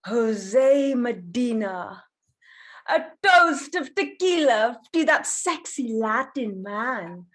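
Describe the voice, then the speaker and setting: A woman's voice: a little high, animated, slow, fairly bright tone, exaggerated voice. A woman playing a theatrical, whimsical and defensive mother in her fifties at a screenplay table read.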